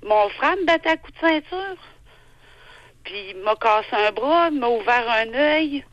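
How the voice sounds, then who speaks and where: A woman in her forties from Quebec with a Québécois accent, calling in and telling her story haltingly with many restarts. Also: fairly high pitch, lively intonation, fairly bright tone, no echo, highs cut off. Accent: Québécois French. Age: 40s. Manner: halting, repeats herself, restarts often. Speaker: caller. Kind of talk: phone-in call.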